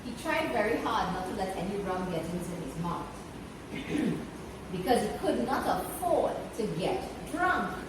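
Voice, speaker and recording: A woman narrates a children's story aloud with lively intonation and deliberate phrasing. She sounds some way from the mic in a very reverberant room, with clear noise behind her. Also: mid-range voice, distant mic.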